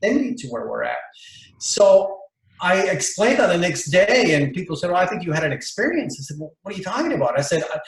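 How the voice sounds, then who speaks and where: A man's voice: high voice, big pitch swings, fast talker. Man telling a long story in a roomy space. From Bolivia. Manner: rambling, many fillers and restarts. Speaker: interview guest. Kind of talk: casual storytelling interview.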